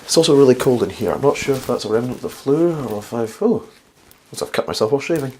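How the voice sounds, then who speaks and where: A man with a slightly croaky, flu-worn voice hosts a casual instructional science vlog, chatty and rambling with wry, self-deprecating asides. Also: mid-range voice, animated, fast talker, a little mellow, slight background noise. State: getting over the flu. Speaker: host of a science video series.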